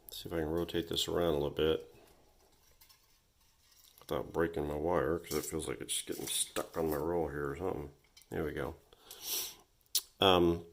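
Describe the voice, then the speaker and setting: A man casually walking through a repair at his home workbench, thinking aloud with frequent pauses and ums, recorded on a phone on a tripod. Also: rumbling low, gravelly. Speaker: vintage electronics repair host. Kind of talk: casual workshop walkthrough. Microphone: phone camera on a tripod.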